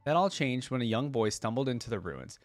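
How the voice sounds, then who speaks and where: A man's voice: medium pitch, lively intonation, a little fast, dry recording, soft background music. A man hosting a reaction video, speaking in a casual way as he comments and reads aloud.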